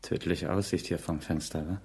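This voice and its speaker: A man's voice: low voice, fairly even intonation, normal speed, a little mellow, husky. An actor playing a brooding, morose man in a radio drama, muttering tersely.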